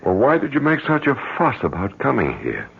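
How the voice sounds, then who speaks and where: A man's voice: medium pitch, wildly swooping pitch, a little fast, gravelly, breathy edge, heavily acted. An actor playing a worried middle-aged father with an earnest, questioning manner in an old-time radio drama. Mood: anxious, probing. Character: worried, probing father of a grown daughter. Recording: muffled old radio recording.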